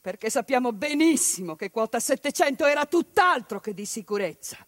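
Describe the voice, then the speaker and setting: A woman's voice: a little high, animated, a little fast, dry recording, clean studio sound. A woman performing a theatrical monologue, with impassioned, ironic storytelling and sudden outbursts. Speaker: stage actress and storyteller.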